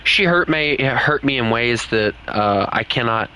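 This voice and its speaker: A man's voice: mid-range voice, expressive, unhurried, bright, forceful tone, clean tone, poor audio. A young man with a Southern US accent, chatting casually and candidly with other players while streaming a game. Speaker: gaming streamer. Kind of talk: casual live-stream chat.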